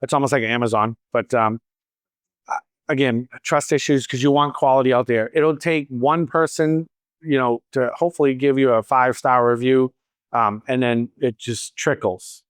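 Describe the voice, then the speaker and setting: A man's voice: medium pitch, fairly even intonation, moderate pace, smooth, no echo, studio quality. A man in his fifties from Boston, with a slight Boston accent, chatting as a salon owner who answers audience questions and tells stories with wry asides. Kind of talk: casual Q&A talk at a training event.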